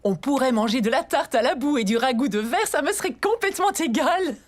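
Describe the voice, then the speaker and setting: A woman's voice: high-pitched, lively intonation, fairly quick. An actress playing a gracious, affectionate mother pony queen, regal and reassuring, in a French cartoon dub. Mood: tender, reassuring. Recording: music behind the voice.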